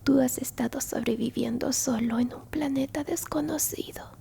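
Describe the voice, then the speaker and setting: A woman's voice: high-pitched, fairly even intonation, creaky, warm tone, a little hiss or hum. A woman playing a kindly old alien grandmother in a whispered ASMR roleplay, tenderly and unhurriedly comforting a lost human. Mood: tender, consoling. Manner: tender, unhurried, reassuring, near-constant whisper.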